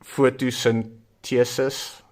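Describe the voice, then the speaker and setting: A man from South Africa whose first language is Afrikaans, with a South African accent, explaining a craft in a relaxed, hesitant way with many pauses and fillers. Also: medium pitch. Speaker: zine maker. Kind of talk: casual tutorial.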